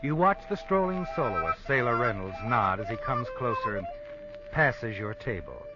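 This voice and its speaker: An actor playing a sardonic, all-knowing American narrator of an old radio suspense drama, insinuating and dramatic. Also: male voice, medium pitch, expressive, moderate pace, lightly acted. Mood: sardonic, ominous. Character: sardonic, omniscient male storyteller of suspense tales. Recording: muffled old radio with music. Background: music.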